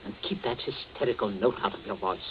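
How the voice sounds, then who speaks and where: A man's voice: on the low side, a little fast, a little hiss or hum, natural voice. An actor playing a stern, domineering middle-aged family man in a 1940s radio drama. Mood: scolding. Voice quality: stern, commanding. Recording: lo-fi old radio broadcast.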